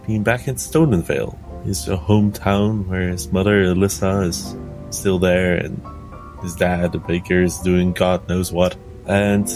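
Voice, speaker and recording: A man's voice: on the low side, low-quality recording. A man playing a tabletop game, describing what his character does. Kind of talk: narrating own character's actions in roleplay. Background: music.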